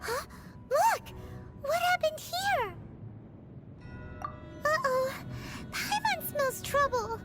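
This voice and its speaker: A woman voicing a tiny, excitable childlike fairy companion in a video game, squeaky, chirpy and exclamatory. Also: extremely slow, pauses often, heavily acted. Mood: wary, alarmed. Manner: exclamatory, refers to self in third person. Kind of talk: cartoonish video game voice acting. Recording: game music behind the voice.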